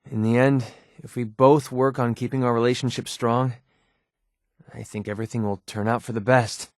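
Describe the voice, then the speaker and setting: An actor playing a wry, guarded young American mercenary companion in a video game, talking in a sardonic, conversational way. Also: male voice, fairly low pitch, dry recording, studio quality, close to an everyday voice. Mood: sincere, subdued. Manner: sardonic, conversational, hesitant asides.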